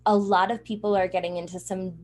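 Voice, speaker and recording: Assured woman explaining in an interview, mid-pitched. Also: flat delivery, fast, a little mellow. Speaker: interview guest, publicity trainer and journalist.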